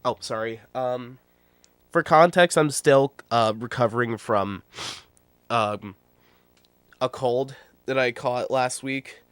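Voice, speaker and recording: A man's voice: medium pitch, slow pace, pauses often, fairly bright tone, clear, resonant tone, dry recording. A man in his twenties with a nasal, stuffy voice from a cold, talking bluntly and rambling in casual livestream commentary. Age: 20s. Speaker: YouTuber and streamer.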